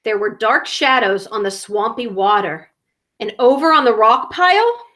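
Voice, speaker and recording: A woman's voice: on the high side, lively intonation, moderate pace, fairly bright tone. A woman reading a children's story aloud, dramatically and with careful emphasis on sound words.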